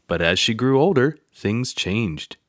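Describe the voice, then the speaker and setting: Male storyteller, children's book read-aloud, expressive, swooping intonation, close dry sound. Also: medium pitch, unhurried, dry recording. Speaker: narrator.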